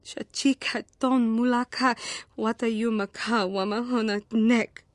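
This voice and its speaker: A woman acting a high-voiced, intense fallen Jedi. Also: steady tone, a little slow, dry recording, clean studio sound, lightly acted. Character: intense female fallen Jedi speaking an invented alien tongue. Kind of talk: video game alien-language dialogue.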